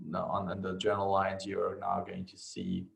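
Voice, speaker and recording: A man with a fairly low voice and a strong vocal fry gives an informal software walkthrough. He speaks like an instructor thinking on his feet, with hesitant phrasing and frequent little tag questions to the listener. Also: completely flat delivery, moderate pace. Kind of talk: informal screen-share software tutorial.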